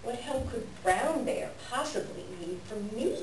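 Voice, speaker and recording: A woman's voice: on the high side, lively intonation, a little slow. A woman playing a sly, selfish coyote trickster in a staged folktale reading, with folksy, boastful, wheedling talk. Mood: surprised, curious. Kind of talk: staged reader's theater. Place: live reading in a library room. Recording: echoey room, distant mic, background noise.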